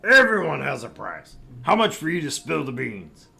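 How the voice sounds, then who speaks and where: A man's voice: a little high, big pitch swings, normal speed, creaky, sharp tone, heavily acted. A man voicing a brash, crude, sleazy middle-aged tabloid editor in a visual novel read-through, with a pompous, pushy manner.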